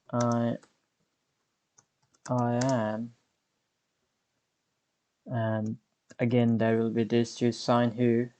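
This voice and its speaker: Young guy walking through code, slow and unhurried, keyboard clicks in back. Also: on the low side, dark tone. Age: 20s. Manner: unhurried, explains step by step while typing. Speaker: coding tutorial host. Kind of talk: screen-recorded programming tutorial.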